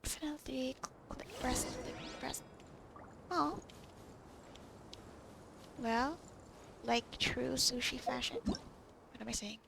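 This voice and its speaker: A woman's voice: high voice, takes it slowly, gravelly, pauses often, background noise. A woman gamer giving casual gameplay commentary, muttering to herself in fragments that trail off. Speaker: gamer playing and reacting. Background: video game music.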